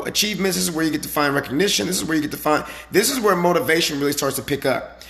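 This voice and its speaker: A male fitness coach speaks casually to his live-stream followers in a somewhat high, raspy voice that sounds a little hoarse. He is emphatic and motivational, repeating his points and checking in with short rhetorical questions. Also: a bit bright. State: slightly hoarse from the previous day.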